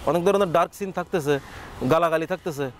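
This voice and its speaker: A man's voice: fairly high pitch, fast, a little hiss or hum. A young man with a Chattogram accent, a comedy content creator giving casual interview answers. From Chattogram, Bangladesh.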